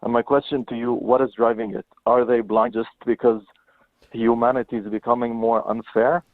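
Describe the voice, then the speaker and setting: A man's voice: medium pitch, level tone, normal speed, no echo. Hesitant male caller on a phone line. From Lebanon. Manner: hesitant, many fillers and restarts. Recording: tinny phone line, dry.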